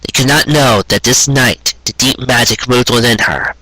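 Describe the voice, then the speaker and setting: A man's voice: mid-range voice, normal speed, pushed and bright, husky, poor audio. A man narrating a scripted story in an earnest, sentimental manner. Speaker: narrator reading fan fiction.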